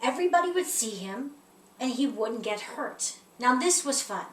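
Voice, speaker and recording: A woman reading a children's picture book aloud in an expressive storytelling style. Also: fairly high pitch, moderate pace. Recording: roomy echo, distant mic, faint noise.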